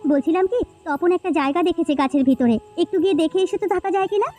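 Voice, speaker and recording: Woman acting very high-pitched, plaintive village wife. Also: quick pace, dark tone, faint music behind. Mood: gentle, cautious. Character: anxious, gentle village wife and mother. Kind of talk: Bengali cartoon folk-tale dialogue acting.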